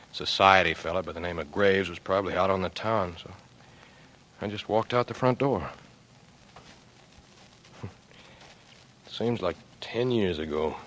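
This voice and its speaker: Young down-on-his-luck burglar in a 40s radio play, lowish voice, guilty, tired, halting, resigned. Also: fairly bright tone, poor audio. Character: guilt-ridden young out-of-work electrician turned burglar, weary and resigned. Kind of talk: 1940s radio acting.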